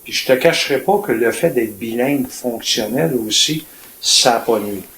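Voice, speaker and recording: An older man in his seventies from Quebec, with a Quebec French accent, telling his life story in a reflective, colloquial, measured way as a retired police officer. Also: mid-range voice, fairly bright tone. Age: 70s. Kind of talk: personal storytelling in an interview. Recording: echoey room with faint noise.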